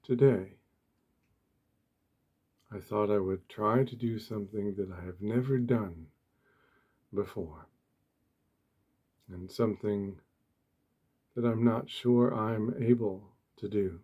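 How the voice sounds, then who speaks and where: Slow, soft-spoken man with husky low voice. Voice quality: soft, hushed, breathy. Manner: gentle, deliberate, short phrases with pauses. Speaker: ASMR host. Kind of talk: soft-spoken ASMR meditation talk.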